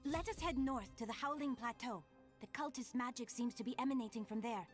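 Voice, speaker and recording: High-voiced, formal young-sounding enchantress played by a woman. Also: faint music behind, lightly acted. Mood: calm, helpful. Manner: measured, formal, archaic phrasing. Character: mysterious enchantress, calm, formal, protective guide. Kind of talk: fantasy video game voice acting.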